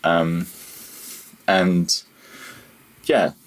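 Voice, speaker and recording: A man's voice: low-pitched, level tone, extremely slow, rough recording. A man talking casually as a podcast guest about his own working life, in a rambling, conversational way. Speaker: podcast guest, audio programmer. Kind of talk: casual interview, telling own career story.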